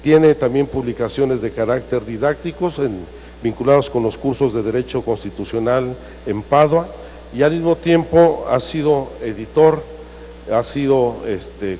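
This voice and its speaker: A man delivers a formal introduction, pausing on fillers and fixing his slips as he goes. His voice is mellow and low in brightness. The sound carries a long hall reverb over faint background noise. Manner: formal, with frequent hesitations and self-corrections. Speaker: seminar moderator. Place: large hall in the Senate.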